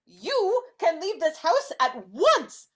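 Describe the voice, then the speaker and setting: A very shrill, fierce wife. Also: female voice, very animated, slow pace, a bit bright, exaggerated voice. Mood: furious. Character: Emily Cratchit, fierce protective wife. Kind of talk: live dramatic reading.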